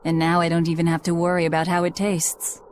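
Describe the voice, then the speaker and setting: A woman's voice: on the low side, completely flat delivery, brisk, slight background noise, close to an everyday voice. An actress playing a guarded young woman demon who devours her enemies, speaking in a curt, cold manner in a video game cutscene.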